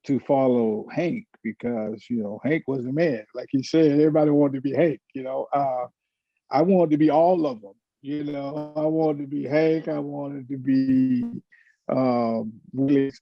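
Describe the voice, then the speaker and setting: Gravelly middle-aged man, halting and casual. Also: mid-range voice, no echo. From Florida, United States. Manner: halting, hesitant, many fillers and restarts. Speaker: panelist. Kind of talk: casual reminiscing in a panel discussion. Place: virtual panel over video call. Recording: dull, lo-fi video call.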